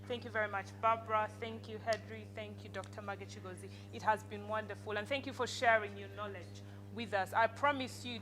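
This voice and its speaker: A woman's voice: fairly high pitch, bright, forceful tone, a little hiss or hum. A woman with a Ugandan accent moderating a panel, giving closing remarks and earnestly urging the audience to act. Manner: exhorting, direct, earnest.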